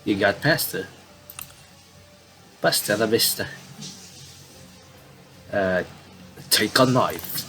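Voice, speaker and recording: Slow-paced man with very lively pitch. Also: low-quality recording. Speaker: gamer reading a visual novel aloud with commentary. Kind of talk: casual let's play commentary mixed with reading game text aloud.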